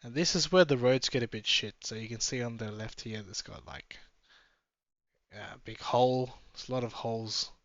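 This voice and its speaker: A man's voice: mid-range voice, normal speed, fairly bright tone, no room sound. Man, travel vlog car commentary, easygoing and rambling, dry dull-ish sound. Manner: chatty, musing aloud, easily sidetracked. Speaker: travel vlogger. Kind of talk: casual running commentary from a car. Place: inside a moving car.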